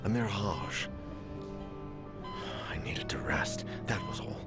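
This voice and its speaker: An actor playing a haunted, exhausted male survivor of an ordeal in a horror game, speaking gravely and breathily. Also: low voice, takes it slowly, loud music behind the voice. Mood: weary, shaken. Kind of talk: dramatic first-person game narration.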